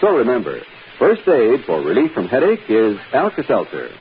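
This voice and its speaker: A man's voice: medium pitch, wildly swooping pitch, normal speed, narrow, tinny audio. A man reading a persuasive, polished 1950s radio commercial as announcer. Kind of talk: 1950s radio advertising read. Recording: thin, hissy old radio recording.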